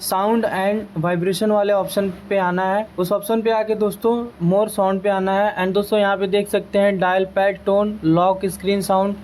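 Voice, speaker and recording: High-pitched, flat-toned Indian man, noisy recording. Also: normal speed, hardly any pauses. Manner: repetitive, addresses listeners as friends often. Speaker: tech tutorial host. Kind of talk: casual step-by-step phone settings tutorial. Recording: noticeable background noise.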